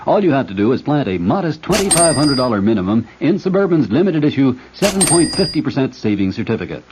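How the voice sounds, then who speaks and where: A man reading a scripted radio advertisement in a folksy, measured way. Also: medium pitch, big pitch swings, background noise. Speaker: commercial announcer. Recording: muffled vintage radio recording.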